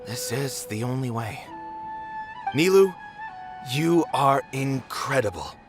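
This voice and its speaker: An actor playing a meticulous, protective theater manager in a video game, speaking formally and emphatically. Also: male voice, medium pitch, expressive, over music. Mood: solemn, encouraging. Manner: formal, emphatic, deliberate wording.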